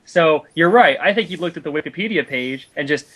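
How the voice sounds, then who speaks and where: Male co-commentator, slightly high voice, casual argumentative film talk, rambling delivery. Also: expressive, a little fast, fairly bright tone.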